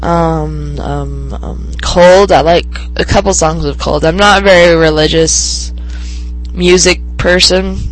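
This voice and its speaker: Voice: medium pitch, steady tone, slow, soft background music, low-quality recording. A teenage podcast host with a cold, sniffling and congested, chatting on their own in a rambling way with many ums and restarts. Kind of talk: casual solo podcast chat.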